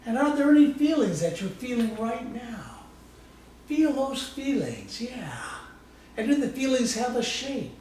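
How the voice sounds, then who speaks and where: A man's voice: very high voice, very animated, a little slow, a little mellow. A man leading a live guided imagery exercise in a soothing, coaxing, informal way, often repeating short phrases. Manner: folksy, informal wording. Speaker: guest presenter demonstrating guided imagery. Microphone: distant microphone. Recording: echoey room from far off, faint noise.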